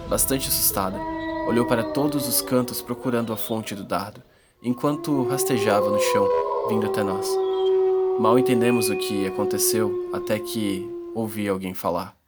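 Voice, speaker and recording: Casting: male actor as earnest young adventurer-narrator, suspenseful audiobook storytelling, lowish voice over heavy music. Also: natural voice. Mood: anxious, suspenseful. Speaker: narrator and protagonist. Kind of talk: scripted first-person audiobook narration. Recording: loud music bed under voice.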